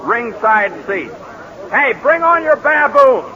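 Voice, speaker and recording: An actor playing a sardonic, cynical pollster in a 1940s radio drama. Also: male voice, very high-pitched, expressive, slow, pushed and bright, heavily acted. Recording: lo-fi 1940s radio broadcast with crowd.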